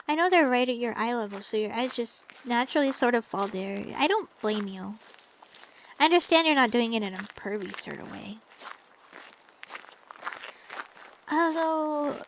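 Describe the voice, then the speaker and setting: Woman acting a high-voiced, teasing, doting anime champion. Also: fairly bright tone, slight background noise, low-quality recording. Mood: teasing, flirtatious.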